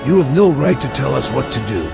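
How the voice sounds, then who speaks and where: A gruff older police commissioner, acted by a man, heavily gravelly and indignant. He is stern and challenging in comic-book style, with music clearly behind him. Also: medium pitch, sing-song, brisk. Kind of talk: motion comic voice acting.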